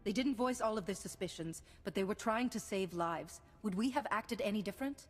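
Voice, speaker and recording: An actress playing a calm, principled government agent in a science-fiction video game, speaking in a measured, firm, diplomatic way. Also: female voice, medium pitch, close to an everyday voice. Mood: firm, reasoning. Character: composed, principled female Republic agent, calm and confident. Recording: long hall reverb, music behind.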